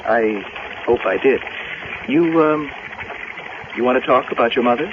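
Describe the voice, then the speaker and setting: A man's voice: medium pitch, a little slow, lightly acted. Male actor for solicitous stranger in vintage radio drama: formal, hesitant, knowing; muffled, noisy sound. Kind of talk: 1970s radio drama acting.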